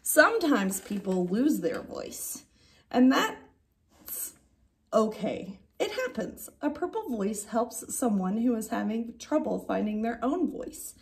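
A woman in her thirties reading a picture book aloud like a teacher, with expressive, drawn-out emphasis on key words. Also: a little high, gravelly. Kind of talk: animated children's story read-aloud. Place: indoor classroom.

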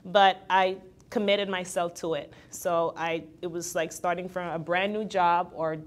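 A woman's voice: fairly low pitch, measured pace. A woman in her twenties from Guyana, a fitness trainer and entrepreneur, talking candidly as a guest in a casual interview and laughing easily. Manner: candid, laughs easily, quotes others in lively voices.

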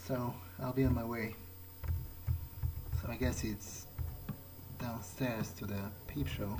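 A man's voice: mid-range voice, fairly even intonation, slow pace, background noise. A man improvising in-character commentary as he plays a video game, hesitant and musing. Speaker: gamer playing in character. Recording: voice-over with game music behind. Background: video game music.

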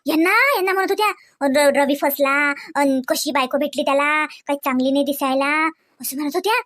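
Woman voicing very high-pitched, girlish Varhadi cartoon wife. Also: normal speed, fairly bright tone. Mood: upset, insecure. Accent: Varhadi Marathi. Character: insecure, excitable young wife, eager for praise. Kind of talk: comedy cartoon dialogue.

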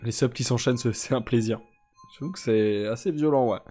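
A young man with a stuffy nose, chatting casually and joking deadpan with viewers while he streams a game. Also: medium pitch, a little fast, faint music behind. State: congested, sick with sinusitis. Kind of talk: casual live-stream chat while gaming.

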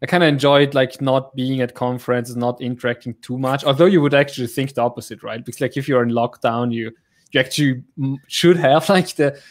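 A man's voice: medium pitch, fairly even intonation, brisk. A man in his thirties with a German accent, a native German speaker who is a guest in a casual video-call chat, full of "like" fillers as he searches for words. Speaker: guest software developer.

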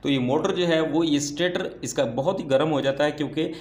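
A man's voice: a little high, a little fast. A man teaching repair at a workbench, explaining step by step and asking himself questions before answering them. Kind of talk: hands-on repair tutorial. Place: workbench indoors, off camera. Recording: echoey room.

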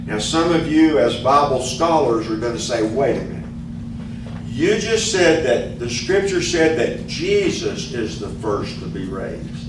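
An older male pastor gives an earnest, unhurried Bible teaching at a stand microphone. The room is very echoey, and he sounds a little distant from the mic. Steady background noise hums under his voice. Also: medium pitch. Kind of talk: sermon teaching. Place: church meeting room with band gear. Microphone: stand mic, filmed from a distance.

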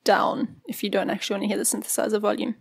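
A woman presenting a tutorial in an informal, explanatory way, walking through software step by step. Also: medium pitch, level tone, fast, vocal fry.

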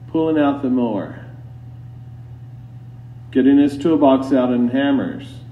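Slow, solemn male priest preaching, distant and echoey. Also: medium pitch. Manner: solemn, deliberate, admonishing, with long pauses. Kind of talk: sermon. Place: inside a church. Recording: roomy church, distant mic, dull, faint hum.

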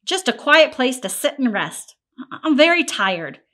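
A woman's voice: high-pitched, big pitch swings, unhurried, a bit bright, full character voice. A woman voicing a needy boy from a storybook who has grown up wanting, plaintive and demanding. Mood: weary. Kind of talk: storybook character reading.

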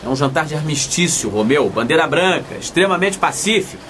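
A man's voice: fairly high pitch, lively intonation, brisk, a bit bright, slight background noise. An actor with a Brazilian accent playing a hapless, cajoling father in a colloquial comic radio soap. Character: hapless, pleading young father narrating his own story.

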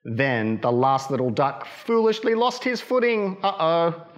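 A man in his thirties reading a picture book to children in a playful, expressive way. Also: a little high, unhurried, muffled recording. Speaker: library storytime reader. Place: small children's library corner, indoors.

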